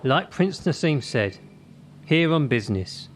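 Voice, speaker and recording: A stern, animated male gymnastics coach. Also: fairly high pitch, unhurried, a little hiss or hum. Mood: fired up. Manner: emphatic, drilling short commands and rhetorical questions. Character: stern adult male gymnastics coach, hard-driving and menacing. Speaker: actor in a radio play. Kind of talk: radio drama acting, motivational tirade.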